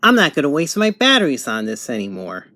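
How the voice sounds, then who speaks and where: A woman's voice: deep voice, huge pitch swings, brisk, a bit bright. A woman giving a casual, exasperated gadget review, full of exclamations. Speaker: product reviewer. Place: indoors at a table. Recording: clear radio static and handling noise.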